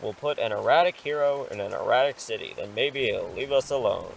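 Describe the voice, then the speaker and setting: A man acts as an excitable superhero mentor in an audio drama. He rambles eagerly and reassures, turning sheepish when challenged. Rain and light noise sit behind his voice. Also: lowish voice, brisk, gravelly, low-quality recording. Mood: excited, chatty. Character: impulsive, excitable adult male superhero mentor and schoolteacher.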